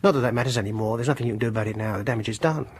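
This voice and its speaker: An actor playing a coldly vengeful, obsessive music lover in a radio horror drama, pedantic and condescending. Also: male voice, medium pitch, rapid-fire, a little mellow, breathy edge, natural voice. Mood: obsessive, sinister. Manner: pedantic, mock-reasonable, condescending.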